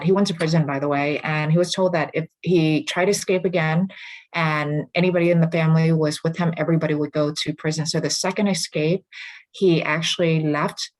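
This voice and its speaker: A woman in her forties from Vietnam shares her life story as a podcast guest, calling in from afar. Her voice sits low, and she talks in a reflective, earnest way. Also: low-quality recording. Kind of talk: casual interview. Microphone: remote call.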